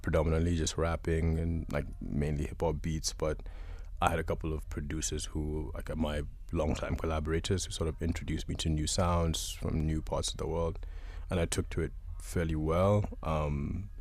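Very deep, gravelly-voiced man from Uganda. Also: no room sound. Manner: relaxed and thoughtful, many "um" pauses. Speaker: guest musician. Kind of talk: casual interview answers.

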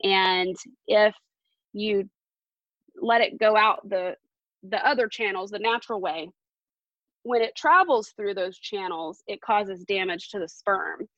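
A woman around forty, a podcast guest telling a personal story in an earnest, halting way with many fillers. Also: medium pitch, a bit bright, no echo, muffled recording.

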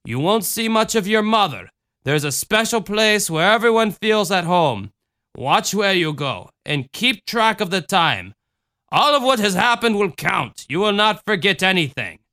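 A man doing a calm, solemn motherly woman. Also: high-pitched, voice pushed up, full character voice. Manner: slow, calm, deliberate speech. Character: gentle, solemn motherly woman, tender and wise.

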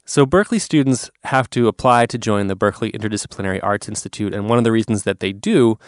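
A man hosting an interview, asking long, measured questions that set up the topic. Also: on the low side, no room sound, clean studio sound. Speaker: interviewer host.

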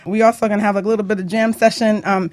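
A woman hosting a casual radio talk show, chatting in an animated, bantering way. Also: mid-range voice, racing, no room sound.

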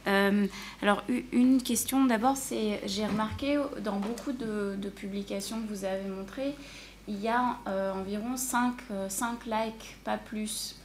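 A woman moderating a conference panel, asking questions haltingly with many pauses. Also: mid-range voice, slight background noise. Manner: halting, many "euh" fillers.

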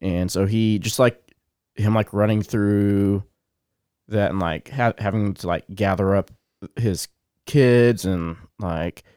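A man's voice: lowish voice, fairly even intonation, takes it slowly, a little mellow, smooth, no room sound, studio quality. A man co-hosting a podcast, rambling casually with many fillers and self-corrections, a bit sniffly. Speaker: podcast co-host. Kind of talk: casual chat.

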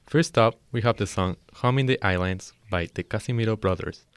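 Male radio music presenter, slightly deep, scripted introductions in a close dry recording. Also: fairly quick, clear, resonant tone, dry recording. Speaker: music host.

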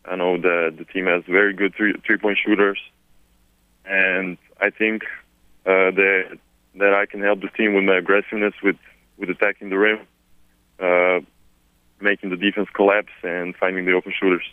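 A young man from Serbia with a Serbian accent, answering reporters on a conference call, halting and repeating words with many fillers. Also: deep voice, little pitch movement, dry recording. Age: 20s. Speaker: newly drafted basketball player. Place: at home, far from the press. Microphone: telephone. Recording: tinny dry phone line.

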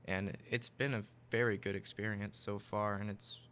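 A young man in his twenties from Tennessee answers a host's questions as a student guest. His delivery is flat and a little halting, with a bright edge, and a faint ambient hum sits behind him. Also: fairly low pitch. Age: 20s. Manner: plain, slightly halting with "uh" fillers. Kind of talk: casual interview.

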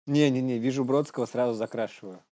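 A man's voice: mid-range voice, fast talker, background noise. A man co-hosting a comedy news show, bantering casually and jokingly in slang and acting out bits.

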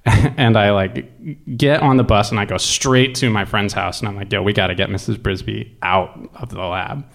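A man telling a personal story casually, in a halting, reflective, conversational way peppered with filler words. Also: on the low side, vocal fry. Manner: halting, reflective, conversational with frequent 'like' and swearing. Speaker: guest storyteller.

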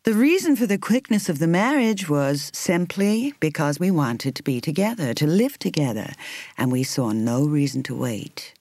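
A woman reading an audiobook in the role of a reflective woman in her thirties recalling her romance, in a measured, intimate, expressive way. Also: sits low, dry recording, heavily acted. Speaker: audiobook reader. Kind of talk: scripted first-person narration.

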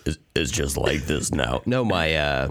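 A man with a hoarse voice co-hosting a podcast, rambling and joking with lots of fillers and restarts. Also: very deep voice, lively intonation, a little slow, a bit bright, a little hiss or hum. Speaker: podcast co-host. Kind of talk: casual chat. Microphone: remote call mic.

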